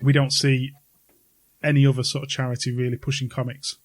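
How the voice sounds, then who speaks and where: A man with a middle-pitched voice hosts a casual podcast. He talks in a chummy, enthusiastic way, as if among friends. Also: flat delivery, brisk. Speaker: podcast host. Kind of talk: casual chat.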